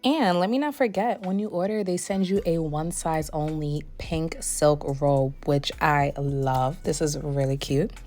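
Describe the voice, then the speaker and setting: A young woman with a slightly hoarse voice, still recovering, walking through a wig review and install step by step. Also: low voice, a little slow, hardly any pauses, soft background music. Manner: step-by-step explaining, relaxed. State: hoarse, recovering from losing her voice. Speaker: beauty vlogger reviewing a wig. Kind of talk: product review voice-over.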